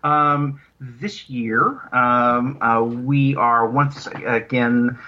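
A man chatting casually as an interview guest, speaking in a halting, rambling way and repeating words. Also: mid-range voice, level tone, dull audio. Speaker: guest, audio drama producer.

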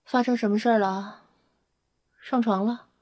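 Woman acting vampire scientist, nosy, clinical, blunt, slightly high voice, dry sound, audio drama. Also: steady tone, normal speed, darkish tone, dry recording, lightly acted. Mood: teasing, matter-of-fact. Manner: inquisitive, blunt, matter-of-fact. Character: nosy, clinical vampire-race scientist Lanka, coolly curious.

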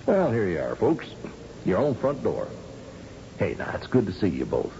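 A man's voice: fairly low pitch, huge pitch swings, normal speed, dark tone. A man in an old radio drama playing a folksy, genial old governor of a space colony, wry and rambling with a cowboy streak. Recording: dull, noisy old radio recording.

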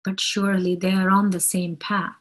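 A woman giving an inspirational spiritual talk in an earnest, deliberate way, phrase by phrase, with a husky, breathy voice. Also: medium pitch, little pitch movement. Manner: deliberate, earnest, phrase-by-phrase delivery with emphatic repetition.

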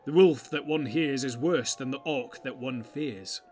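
A man's voice: medium pitch, measured pace, darkish tone, slight background noise, lightly acted. A man playing a proud, skeptical warrior in a fantasy audiobook, with formal, declamatory, challenging speech. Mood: resolute. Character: proud, skeptical male warrior, forceful and blunt.

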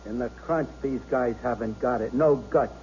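A man's voice: medium pitch, moderate pace, darkish tone, close to an everyday voice. An actor playing a cold, contemptuous, murderous policeman, sneering with controlled menace in a 1970s radio drama. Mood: cold contempt. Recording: muffled, noisy old radio broadcast.

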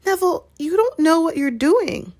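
A woman's voice: mid-range voice, normal speed, close to an everyday voice. A woman voicing a determined schoolboy in a storybook, serious and urgent in manner.

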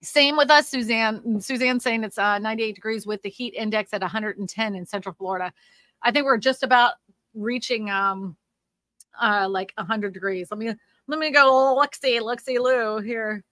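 A woman's voice: fairly high pitch, moderate pace, fairly bright tone, dry recording. A woman from Louisiana hosting a casual livestream about the housing market, with a slightly nasal voice and a rambling way of talking full of fillers. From Louisiana, USA. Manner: chatty and rambling, with frequent fillers and restarts. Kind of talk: casual livestream chat reading comments.

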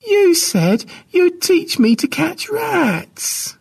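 An anxious little boy from a storybook clan, squeaky and drawn out. He is eager and questioning, very childlike. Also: wildly swooping pitch, very slow, gravelly, full character voice. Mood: anxious, pleading.

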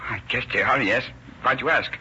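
An actor playing a nervous, evasive young murder suspect, hesitant and stiffly formal, in an old radio drama. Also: male voice, medium pitch, a little fast, pushed and bright. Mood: shaken, evasive. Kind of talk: 1940s radio acting. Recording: old lo-fi radio recording with hiss.

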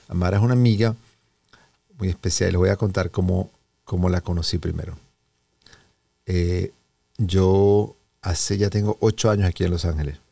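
A Venezuelan man hosting a wellness interview show, telling stories in a relaxed, conversational way. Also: low-pitched, vocal fry, no room sound, far from the mic. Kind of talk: relaxed conversational introduction and storytelling.

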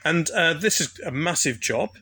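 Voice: medium pitch, expressive, moderate pace, sharp tone, breathy edge. A podcast host asking casual questions in an interview.

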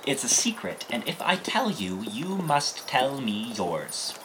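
A woman playing a teasing, excitable teenage boy in a period audio play, mischievous and wheedling. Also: extremely low pitch, a little slow, exaggerated voice, rough recording. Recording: noticeable background noise and clicks.

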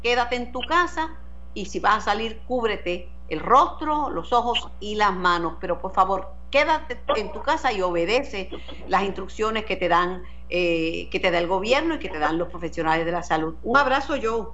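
A woman's voice: medium pitch, animated, normal speed, fairly bright tone, lo-fi sound. A Puerto Rican woman with a Puerto Rican Spanish accent, hosting a news radio show and questioning officials in an earnest, direct way. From Puerto Rico.

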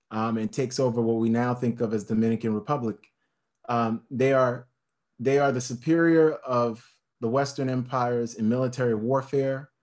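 Casting: man, middle pitch, fervent and emphatic, stacks points into long lists, uses fillers and restarts. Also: darkish tone. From United States. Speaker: speaker on history. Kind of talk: impassioned talk on history.

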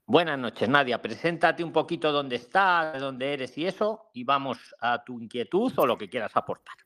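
A man's voice: medium pitch, expressive, normal speed, fairly bright tone. This is a man from Spain with a Madrid accent, running a live call-in session over an online call. He talks in a friendly, explanatory way, stresses the key words and keeps checking in with his listeners. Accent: Spanish, Madrid. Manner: friendly, explanatory, emphatic, with frequent "¿vale?". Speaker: podcast host. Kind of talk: live call-in chat, hosting.

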